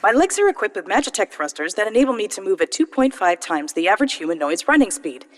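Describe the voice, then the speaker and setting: An actress playing a polite, literal-minded golem tour guide in an audio drama, reciting facts formally and precisely. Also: female voice, medium pitch, very animated, quick pace, a little hiss or hum, natural voice. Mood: informative.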